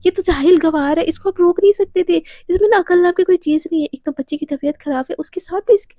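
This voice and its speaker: Woman playing a sharp-tongued protective mother, high voice, mellow tone, dry close sound. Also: fairly even intonation, brisk, dry recording. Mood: scolding. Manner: stern, sarcastic scolding. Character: scolding protective middle-aged Indian mother.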